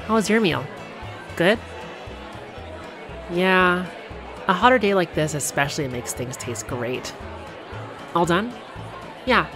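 A performer voicing a cheerful, affectionate girlfriend on a theme park date, speaking gently and unhurriedly in a one-sided ASMR roleplay. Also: mid-range voice, over music, a crowd in the background. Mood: cheerful, caring. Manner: gentle, unhurried, softly conversational.

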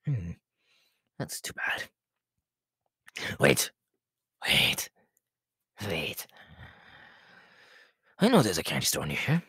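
A menacing, childishly giggly clown monster from a horror roleplay. The voice is rasping and breathy, swings wildly up and down, and drawls slowly into whispers. He rambles and teases, and it is all captured close and dry. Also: low-pitched, huge pitch swings, bright, forceful tone, dry recording, exaggerated voice. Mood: wheedling, greedy. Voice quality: raspy, breathy, gravelly, slipping into whispers. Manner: rambling, teasing, laughing at himself, erratic swoops. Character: tall inhuman killer clown, giggling, menacing, childishly candy-hungry.

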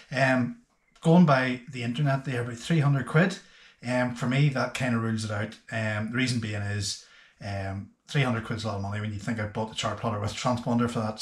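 A man, hosting a casual boating explainer to camera in a hesitant, unscripted way with frequent pauses. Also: mid-range voice, steady tone. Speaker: boating video host. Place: small indoor workshop room with bare walls. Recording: echoey bare room.

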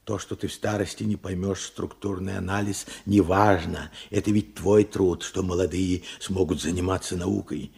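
A man's voice: low voice, fairly even intonation, creaky, darkish tone, slightly rough, heavily acted. An actor playing an old grandfather and war veteran in a Soviet radio play, tender, fervent and dramatic. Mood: earnest, subdued. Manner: dramatic, fervent, halting delivery. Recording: vintage radio play with music underneath.

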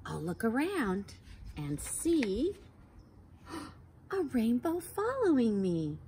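Slow, lively woman reading to kids. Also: a little high, slight background noise. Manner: slow, animated and sing-song, sounding out letters, gasping for effect. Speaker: storytime reader for children. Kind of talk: reading a picture book aloud to children. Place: backyard patio outdoors by a wooden fence.

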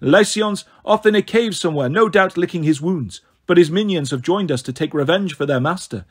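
A man acts out a cold snow goddess from a fantasy storybook. The voice sits high and stays soft, gentle and purring. She is haughty and condescending, taunting with cruel, silky smugness. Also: brisk, slightly rough. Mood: gloating. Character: beautiful cold snow goddess, haughty, sadistically smug villainess.

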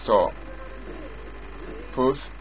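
Guy doing a screen demo of his app, kinda halting and repetitive, muffled lo-fi sound, music loud behind him. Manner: halting, repetitive explanations in short phrases. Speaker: software developer demonstrating own app. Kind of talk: unscripted screen-recording software walkthrough. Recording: dull lo-fi audio with loud music.

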